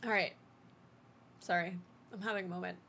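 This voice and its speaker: Bright, excitable young woman streamer. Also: fairly low pitch, moderate pace, gravelly, a little hiss or hum. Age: young adult. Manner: excitable, gushing. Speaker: game streamer. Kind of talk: live reaction, casual chat. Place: small indoor streaming room. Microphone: desk boom microphone, headphones on. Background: game trailer music.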